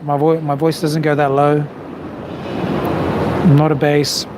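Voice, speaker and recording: A man's voice: fairly high pitch, unhurried. A man, a vocal coach, chatting relaxedly on a live stream and answering viewer questions. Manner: relaxed, chatty, thinking aloud with fillers. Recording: noisy background.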